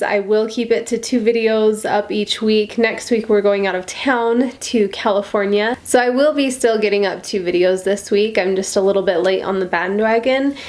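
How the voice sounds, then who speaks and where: A woman's voice: mid-range voice, darkish tone. An American woman with a stuffy, nasal voice from a cold, chatting casually and in a friendly, rambling way as she gives a beauty tutorial. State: congested from a cold. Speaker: beauty vlogger.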